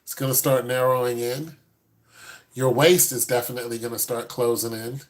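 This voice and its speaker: A man's voice: mid-range voice, little pitch movement, normal speed. A man in his fifties, a host giving blunt, no-nonsense advice to a caller in casual chat. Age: 50s.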